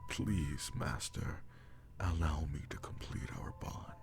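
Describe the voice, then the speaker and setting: Guy doing a super deep crackly demon lover, slow n formal, breathy half whisper, audio roleplay w music behind. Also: exaggerated voice. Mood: hopeful, yearning. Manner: slow, formal, archaic phrasing. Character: powerful male demon, devoted, jealous lover bound to a sorcerer. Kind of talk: intimate roleplay audio drama, addressed to the listener.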